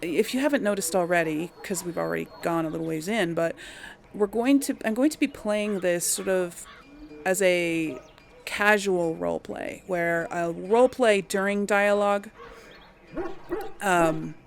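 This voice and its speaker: A woman's voice: on the low side, measured pace, creaky. A woman hosting a casual game playthrough, chatting informally to her audience and thinking aloud. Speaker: host of a game playthrough series. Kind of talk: casual chatty let's play commentary.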